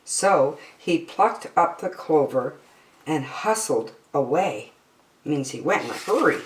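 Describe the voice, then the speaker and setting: A woman narrating a children's storybook expressively, with measured, dramatic phrasing. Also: sits low, expressive, roomy sound, distant mic, rough recording. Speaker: storytime host, reader. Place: indoors, book filmed on a tabletop.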